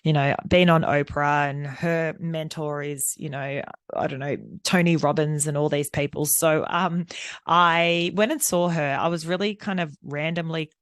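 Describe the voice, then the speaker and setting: A woman's voice: low voice, fairly even intonation, unhurried, clear, resonant tone, dry recording, clean studio sound. A woman, hosting a solo podcast in a candid, rambling way with lots of fillers. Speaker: podcast host. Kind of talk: unscripted solo podcast monologue.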